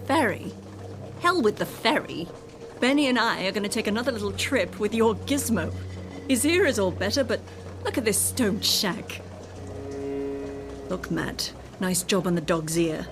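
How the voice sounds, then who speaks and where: An actress playing a sardonic, demanding, discontented wife in a radio drama, with a needling manner. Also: female voice, mid-range voice, animated, a little slow, pauses often, over music. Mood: scornful, impatient. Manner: sarcastic, needling.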